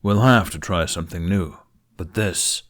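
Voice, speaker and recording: A man playing a stern middle-aged Viking chief in an audiobook, with a gruff, measured and commanding delivery. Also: low voice, natural voice. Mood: grave, reluctant. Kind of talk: audiobook character acting.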